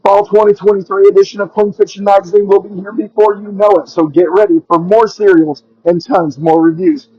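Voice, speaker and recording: A man's voice: high voice, fairly even intonation, fairly quick, few pauses, breathy edge, no room sound. A man hosting a podcast, giving introductions and announcements to his listeners. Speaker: podcast host. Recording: dull, lo-fi close recording.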